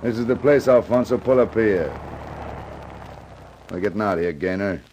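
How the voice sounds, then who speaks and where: An actor playing a sardonic, slangy gangster who threatens coolly in a 1950s hardboiled radio drama. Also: male voice, lowish voice, normal speed, a little mellow, highs cut off, a little hiss or hum, lightly acted. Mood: threatening. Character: menacing, sardonic American gangster with a gun.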